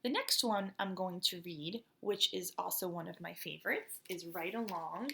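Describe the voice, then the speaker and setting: A school principal, a woman born in the United States, talks in a relaxed, chatty way with many fillers as she introduces and reads a storybook aloud to children. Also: fairly low pitch, moderate pace, creaky, a little hiss or hum.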